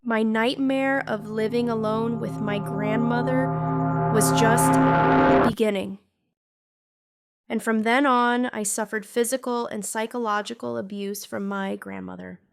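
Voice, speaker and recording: A woman's voice: on the high side, steady tone, measured pace, creaky. A woman voicing a bitter young female villain recruit, narrating her painful past in a scripted comic dub. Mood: somber, hurt. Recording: music under the voice. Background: background music.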